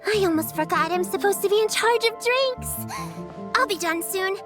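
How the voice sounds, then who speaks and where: A sweet little girl who mothers her friends, very high voice, faint music. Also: expressive, moderate pace. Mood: cheerful. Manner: sweet, earnest, looks after her friends. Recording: game audio with soft music underneath.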